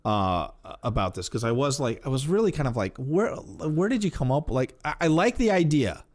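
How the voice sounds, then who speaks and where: A man's voice: medium pitch, animated, moderate pace, a little mellow. A man co-hosting a podcast in a casual chat, often repeating phrases for emphasis and acting out quotes. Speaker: podcast co-host. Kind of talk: casual chat about TV and comics.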